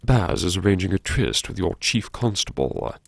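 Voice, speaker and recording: Gravelly, low, brooding man acting a wry academic. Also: big pitch swings, dry recording, heavily acted. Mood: somber, musing. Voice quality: gravelly, creaky. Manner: sardonic, musing, self-reproachful, with sighs and pauses. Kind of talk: first-person audiobook monologue, intimate dramatic narration.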